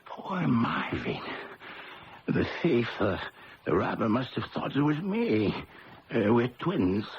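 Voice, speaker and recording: A man playing a shifty, sardonic twin in a 1970s radio drama, with creaky, theatrical delivery on a muffled, noisy recording. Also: medium pitch, big pitch swings, slow. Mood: shaken. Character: shifty, sardonic male twin, short of money.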